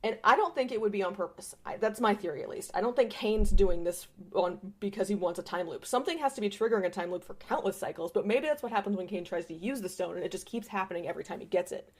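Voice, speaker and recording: A woman's voice: mid-range voice, expressive, fast, hardly any pauses. A woman delivering an impassioned fan-theory monologue as a voice message, dramatic and emphatic, with some swearing. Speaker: listener sending a voice message.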